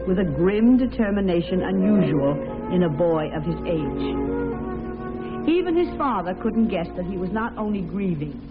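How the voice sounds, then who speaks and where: A woman giving scripted dramatic narration on a tinny vintage radio recording, with music behind her. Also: lowish voice.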